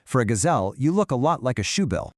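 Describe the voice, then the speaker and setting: A man voicing a calm male shoebill bird in a storybook tale, speaking slowly in a deep voice. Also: no room sound, studio quality. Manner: slow and calm.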